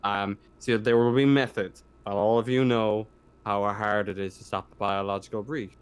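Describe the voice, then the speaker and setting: A man acting as game master, explaining a tabletop roleplaying game in a halting, stammering way. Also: lowish voice, steady tone, clean tone.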